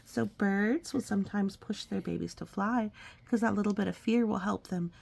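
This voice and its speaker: A woman reading a picture book aloud to a young child, expressive and coaxing, often dropping into a breathy whisper and adding chatty asides. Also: mid-range voice, moderate pace. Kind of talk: storybook read-aloud with conversational asides to a child.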